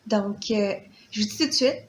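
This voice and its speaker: A woman with a Québécois accent, talking through a cold as she gives casual how-to tips in a vlog, pausing often with fillers and restarts. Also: medium pitch, a little hiss or hum. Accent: Québécois French. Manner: hesitant, many fillers and restarts. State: stuffy from a cold. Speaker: parenting vlogger.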